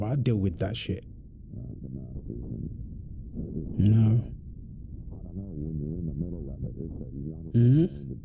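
A man's voice: medium pitch, noisy background, natural voice. A man playing a tired, irritable firefighter husband in an intimate roleplay audio drama. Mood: soft, weary. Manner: weary sighs, snappy, then apologetic.

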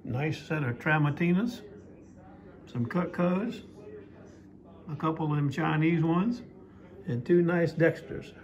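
A man's voice: fairly high pitch, a little mellow, highs cut off. A man, talking in a folksy, rambling way while filming himself on his phone, partly at an outdoor market. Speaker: knife sharpener vlogger. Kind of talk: casual vlog narration. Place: outdoor farmers market parking lot. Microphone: phone camera held by speaker.

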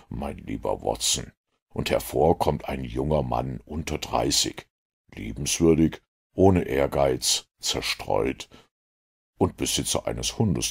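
A man voicing a self-assured, analytical detective in an audiobook, with a gravelly, husky voice and a measured, lecturing manner. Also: very deep voice, full character voice. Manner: measured, didactic, superior. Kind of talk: audiobook character reading.

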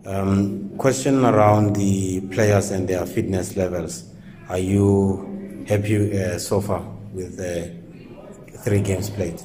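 A man's voice: sits low, steady tone, takes it slowly, warm tone. A male reporter asking hesitant questions at a press conference, with many fillers.

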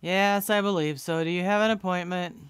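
A man's voice: high-pitched, steady tone, a little fast, a bit bright, clear, resonant tone, dry recording. An actor playing a polite, businesslike male receptionist in a radio drama.

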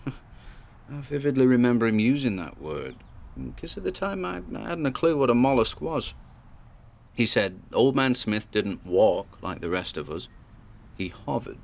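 A man's voice: medium pitch, sing-song, unhurried, creaky. A British man playing a chatty, uneasy paranormal investigator in his twenties, telling his account informally in a horror audio drama podcast. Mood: reminiscing, uneasy. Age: 20s. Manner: informal, hesitant, storytelling. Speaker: narrator and performer. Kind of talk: horror audio fiction in the form of a podcast monologue.

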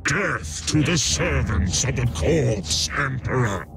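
An actor playing a fanatical, menacing servant of chaos in a video game, gravelly and grandiose in his proclamations. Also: medium pitch, expressive, slow pace, noisy background. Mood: menacing zeal. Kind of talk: video game villain acting.

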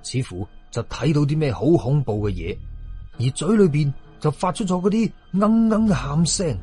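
A man's voice: fairly high pitch, animated, normal speed, warm tone, husky, soft background music. A man with a Hong Kong Cantonese accent narrating a horror story dramatically, as an audio storyteller.

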